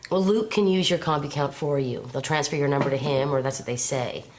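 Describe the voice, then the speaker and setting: A woman's voice: low voice, brisk, darkish tone, close to an everyday voice. An actress playing a cynical, unsurprised woman friend who talks in a dry, matter-of-fact way. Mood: grim. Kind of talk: radio drama.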